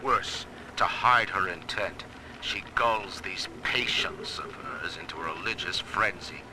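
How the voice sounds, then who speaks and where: An actor playing a stern, proud, suspicious ruler who talks in a grave, disdainful manner. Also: male voice, low-pitched, measured pace. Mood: suspicious, indignant. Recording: noisy old audio-diary recording.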